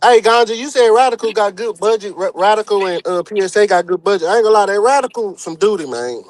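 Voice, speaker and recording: A man's voice: high voice, expressive, fast talker, no echo. A man on a casual livestream chat, telling stories in a joking, slangy way.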